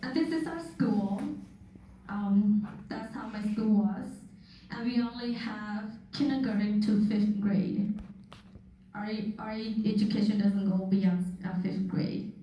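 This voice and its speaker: A young woman from Thailand giving a personal testimony with slides, speaking slowly and earnestly with soft laughs, recorded from far off in a church hall. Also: medium pitch. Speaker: guest speaker. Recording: echoey hall from far off, faint noise.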